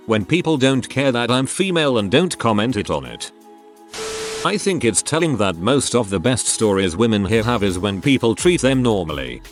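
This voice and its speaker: Low-voiced man narrating with lively intonation. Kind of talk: scripted narration of online forum posts.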